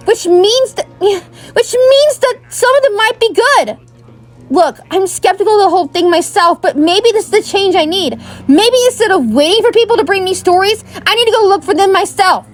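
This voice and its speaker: A woman's voice: very high-pitched, lively intonation, normal speed, soft background music. A woman playing an earnest, slightly unsure young female bard in an audio drama, speaking conversationally. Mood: hopeful, unsure. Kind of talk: fan audio drama acting.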